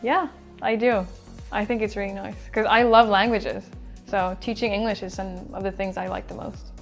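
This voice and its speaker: A woman in her twenties from Sweden answers interview questions in a relaxed, chatty way, pausing with fillers as she thinks. Her voice sits at an ordinary middle pitch, and faint music plays behind her. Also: creaky. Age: 20s. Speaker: English teacher being interviewed.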